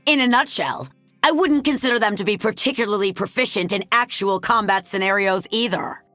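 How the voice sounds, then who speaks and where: A woman playing a cool, analytical military aide in a dramatic video game, measured and matter-of-fact. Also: mid-range voice, a bit bright, no echo, faint music behind, close to an everyday voice. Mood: cool, pensive.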